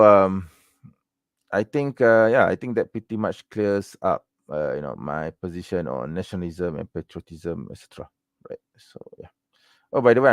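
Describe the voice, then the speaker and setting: Malaysian male livestream host, very low voice, dry close sound, loose rambling delivery with constant tag questions. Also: vocal fry, a little mellow, dry recording. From Malaysia, raised in Penang. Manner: rambling, many fillers and tag questions like "okay?" and "right?". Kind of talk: casual livestream chat answering viewer questions.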